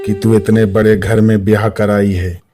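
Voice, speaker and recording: A man playing a domineering, gloating middle-aged landlord in an animated story, with music behind him. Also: on the low side, normal speed, natural voice. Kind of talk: dramatised Hindi animated story. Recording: loud music under the voice. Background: loud music.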